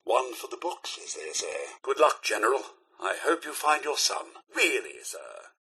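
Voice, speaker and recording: A man voicing a prim, loyal robot butler in a video game, with a British accent and a formal, deferential, theatrically expressive manner. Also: medium pitch, moderate pace, creaky, husky, exaggerated voice. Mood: earnest, polite, then worried. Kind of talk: video game character dialogue.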